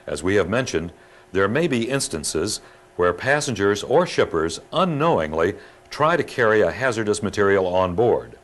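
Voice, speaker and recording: A man with a distinctly low voice narrates a training film. He reads scripted material in a formal, instructive way, keeping a measured delivery throughout. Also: big pitch swings.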